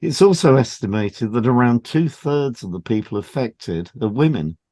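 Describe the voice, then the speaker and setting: A man co-hosting a podcast, reading scripted health information in a measured, explanatory way. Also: medium pitch, animated, a little mellow. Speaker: podcast co-host and hypnotherapist. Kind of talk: scripted educational podcast, read aloud.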